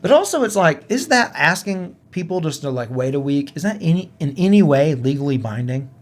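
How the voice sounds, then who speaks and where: Higher-voiced man in casual podcast chat. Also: very animated, a little fast. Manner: informal, frequent "like", musing. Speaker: podcast co-host.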